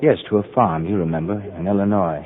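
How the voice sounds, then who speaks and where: An actor playing a devoted, reassuring husband in a vintage radio drama. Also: male voice, low-pitched, steady tone, fast, natural voice. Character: devoted, encouraging husband, earnest and anxious to reassure. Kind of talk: 1940s radio drama acting. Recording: narrow old radio broadcast, audience murmur.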